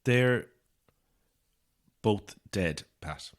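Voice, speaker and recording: A lonely Irish man in his thirties with a lowish voice. He is polite and a little hesitant, with a dry weariness, played naturally as in an audio drama. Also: expressive, very slow. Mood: subdued, explanatory. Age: 30s. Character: lonely, weary man in his thirties stuck on a ghost estate.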